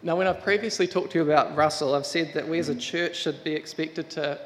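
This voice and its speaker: A man's voice: on the high side, steady tone. A man giving an earnest address to a church congregation, welcoming a new pastor. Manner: earnest, measured, with restarts. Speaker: search committee member. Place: church hall. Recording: reverberant church hall, faint room noise.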